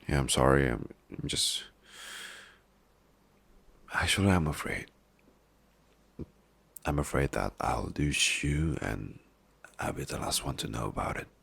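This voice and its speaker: Man acting a very deep-voiced, doting husband. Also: animated, slow, gravelly, pauses often, a bit bright, husky, exaggerated voice. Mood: tender, worried. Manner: tender, coaxing, playful teasing. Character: doting, worried boss husband, big-baby clingy and teasing. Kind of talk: intimate ASMR boyfriend-style roleplay in casual talk.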